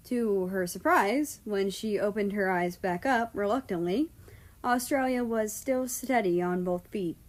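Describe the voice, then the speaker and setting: A woman narrating a scripted story in a storybook style. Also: medium pitch. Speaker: fan fiction narrator.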